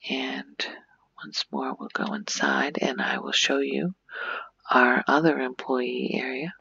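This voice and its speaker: Breathy, hushed woman, slow ASMR delivery. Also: very deep voice, animated, dry recording, lo-fi sound. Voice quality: soft, breathy, often near-whispered. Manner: gentle, unhurried, hushed. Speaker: video creator giving a game tour. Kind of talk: soft-spoken ASMR game tour narration.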